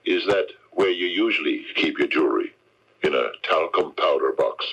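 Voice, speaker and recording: A methodical male detective in a radio mystery, probing quietly with hesitant understatement. The voice is extremely deep and gravel-rough, on a muffled old broadcast. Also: lively intonation, exaggerated voice. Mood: pressing. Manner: hesitant, measured, understated questioning. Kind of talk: 1970s radio drama acting. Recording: dull 1979 AM radio recording.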